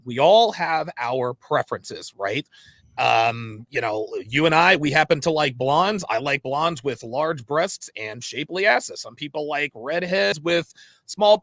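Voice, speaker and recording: An animated man hosts a livestream talk show in a fairly high voice that swings widely in pitch. He is opinionated and emphatic, circling back on phrases to drive them home as he puts things to his guest. The sound is close and dry. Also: a little slow, no echo. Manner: emphatic, repeats phrases for stress. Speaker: host of a livestream interview show.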